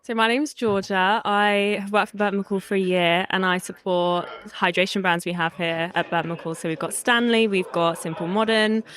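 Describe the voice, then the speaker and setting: Upbeat woman pitching products. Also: fairly even intonation, normal speed, few pauses, fairly bright tone, clear, resonant tone. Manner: upbeat, fluent, salesy. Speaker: brand representative. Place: busy trade show hall.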